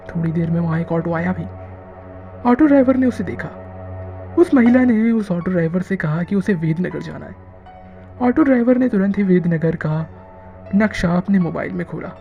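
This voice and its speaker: A narrator telling a scripted horror story in a dramatic, drawn-out way with frequent pauses. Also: mid-range voice, creaky, phone-quality sound.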